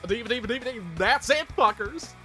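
Brash, sharp-voiced cartoon imp. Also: male voice, extremely high pitch, lively intonation, measured pace, faint music behind, pitched above the performer's own voice, heavily acted. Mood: gleeful, brash. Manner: brash, sardonic, profane. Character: foul-mouthed, brash male cartoon imp assassin. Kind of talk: cartoon character banter.

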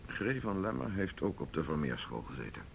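A man acting a dry, methodical police investigator in a radio drama, speaking deliberately. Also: low-pitched, big pitch swings, gravelly, background noise, heavily acted. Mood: dry, matter-of-fact.

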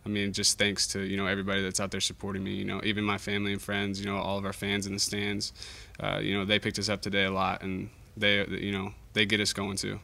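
Young man, athlete at press conference, very monotone, modest, lowish voice. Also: fast, vocal fry, hardly any pauses. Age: young adult. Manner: modest, understated. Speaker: college baseball pitcher. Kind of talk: post-game press conference answers. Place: indoor press conference room. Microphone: table microphone.

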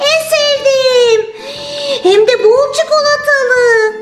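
A woman's voice: very high voice, takes it slowly. A woman voicing a playful, greedy toy turtle in a children's toy story, exclamatory and sing-song. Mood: excited. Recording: roomy sound with music underneath.

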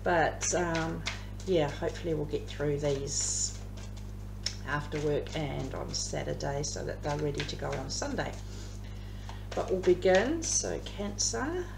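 A woman in her fifties with an Australian accent and a slightly croaky voice, chatting her way through a tarot card reading at home, rambling with plenty of ums. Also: sits low, animated, measured pace. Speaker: tarot reader. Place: living room at home. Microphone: camera across the table. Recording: echoey room with background hum.

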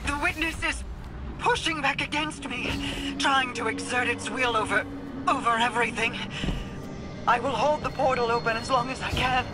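A woman's voice: a little high, moderate pace, natural voice. A woman voicing a commanding mystic queen in a video game, speaking in a formal, solemn and dramatic way. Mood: strained, solemn. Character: regal, grave mystic queen, strained and commanding. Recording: loud game music behind.

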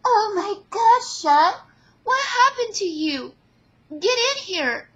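A woman's voice: extremely high pitch, very animated, takes it slowly, muffled recording, voice pushed up, exaggerated voice. A woman voicing a caring, flustered teenage girl who exclaims, fusses and teases in an amateur audio drama. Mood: alarmed. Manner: exclaiming, fussing, teasing.

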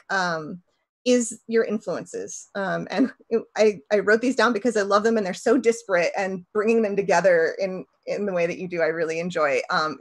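A woman in her thirties hosting a casual livestream interview over a video call, chatty and rambling with lots of fillers. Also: mid-range voice. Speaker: podcast host.

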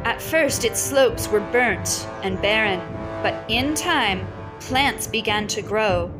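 A woman reading a children's picture book aloud like a storyteller, with measured, deliberate phrasing and pauses. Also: mid-range voice, poor audio. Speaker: read-aloud teacher and storyteller. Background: music behind the voice.

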